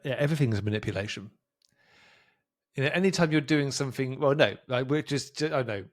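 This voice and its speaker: A man hosting a podcast, talking loosely and chattily, often restarting his sentences as he thinks aloud. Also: mid-range voice. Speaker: podcast host. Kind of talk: casual interview talk.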